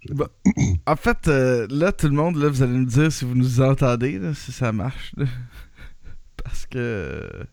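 A man's voice: mid-range voice, lively intonation, unhurried, vocal fry, darkish tone, dry recording, clean studio sound. A man in his thirties with a Quebec French accent hosting a casual podcast, rambling with restarts and laughs. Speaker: podcast host.

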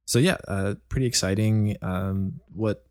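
A man's voice: lowish voice, measured pace, no room sound. A man making wry, joking remarks in a casual band podcast.

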